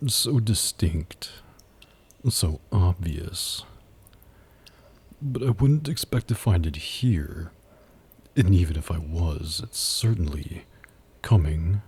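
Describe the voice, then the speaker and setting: A man acting out a smug, flirty male demon who taunts a captive in a husky, teasing, menacing drawl for an ASMR roleplay. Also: low-pitched, mellow, exaggerated voice. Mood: intrigued, condescending. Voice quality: husky, breathy. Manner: slow, teasing, menacing drawl with dramatic pauses.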